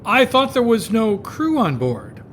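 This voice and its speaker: A man's voice: very high voice, wildly swooping pitch, a little slow, fairly bright tone, slight background noise, heavily acted. A man playing an inquisitive, skeptical undercover reporter in a radio drama, wry and a bit hesitant. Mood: flustered, curious.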